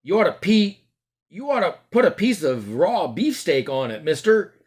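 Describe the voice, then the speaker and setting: A man's voice: high voice, very animated, normal speed, a little mellow, husky, voice pushed up, heavily acted. An eager young butcher's boy, put on by a man reading aloud. He calls out advice in a raised voice.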